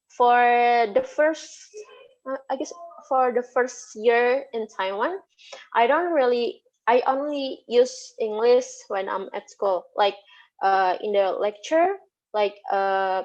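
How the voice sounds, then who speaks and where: Woman, Indonesian accent, Sundanese native speaker, higher-pitched voice, slow halting delivery, casual interview. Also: a little mellow. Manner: hesitant, halting, repeats words. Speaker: lecturer being interviewed. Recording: dull, lo-fi audio.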